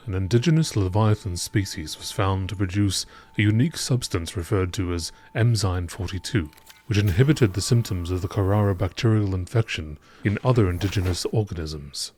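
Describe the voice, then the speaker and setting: A man reading scripted entries as a narrator in a measured, deliberate nature documentary style. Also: low-pitched, darkish tone.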